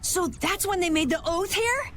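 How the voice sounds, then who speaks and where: Plucky game kid, high bouncy pitch, eager curiosity, slight noise behind. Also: female voice, expressive, normal speed, a bit bright. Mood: curious, eager. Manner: eager, questioning. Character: eager, inquisitive youngster, plucky adventurer. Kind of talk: video game voice acting.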